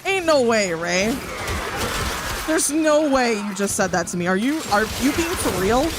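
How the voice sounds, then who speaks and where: A woman's voice: fairly high pitch, measured pace, background noise. A woman streaming as a VTuber, chatting casually and reacting to chat while she plays a game. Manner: animated, reacting to chat, rising to outbursts. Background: game music.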